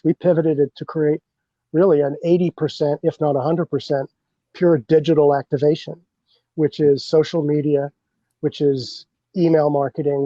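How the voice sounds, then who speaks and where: Bourbon guy rambling on a podcast, lots of uhs, mellow voice, muffled dull audio. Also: fairly high pitch, no room sound. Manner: rambling, many fillers and restarts. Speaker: bourbon brand representative, podcast guest. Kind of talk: casual podcast chat.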